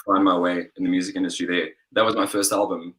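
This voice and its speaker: A young man, a singer-songwriter guest, chatting in an interview over a video call in a relaxed, rambling way full of fillers. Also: low-pitched, quick pace. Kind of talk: casual interview chat.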